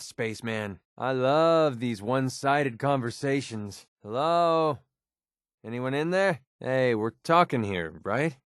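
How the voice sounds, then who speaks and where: An actor playing a wisecracking, guarded young mercenary with an American accent and a colloquial, sarcastic manner in a video game. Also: male voice, medium pitch, no room sound, studio quality, lightly acted. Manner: sarcastic, colloquial, hesitant when sincere. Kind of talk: scripted game dialogue.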